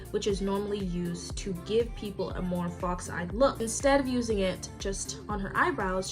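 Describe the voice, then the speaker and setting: A woman narrating a scripted commentary in a wry, opinionated way. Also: medium pitch. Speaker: commentary youtuber. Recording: voice-over with music behind.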